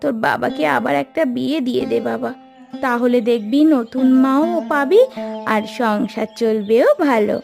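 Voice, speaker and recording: A woman's voice: on the high side, moderate pace, vocal fry, over music. A woman voicing a practical, meddling village aunt in a cartoon. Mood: advising. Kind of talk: cartoon voice acting.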